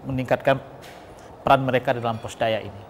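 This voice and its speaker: A man's voice: medium pitch, fairly even intonation, fairly quick. A man giving earnest, wordy explanations as an interview guest, listing points one by one. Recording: echoing hall, noisy background.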